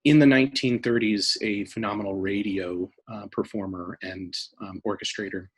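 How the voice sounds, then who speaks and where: A man giving an informal, halting and thoughtful explanation of archive items as an interviewed staff member. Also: lowish voice, steady tone, breathy edge, rough recording.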